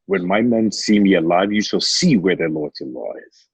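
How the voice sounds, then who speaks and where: A resolute Roman general turned gladiator, acted by a man for a radio drama. His voice sits fairly low and has a husky grain. The recording is dry, with little room sound. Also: expressive, normal speed, no echo, heavily acted. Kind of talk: online table-read radio drama acting.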